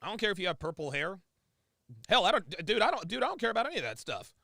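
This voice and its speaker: A man's voice: high voice, sing-song, very fast, creaky, sharp tone, no echo, studio quality. A man streaming live, reacting to a clip with emphatic, repetitive ranting commentary.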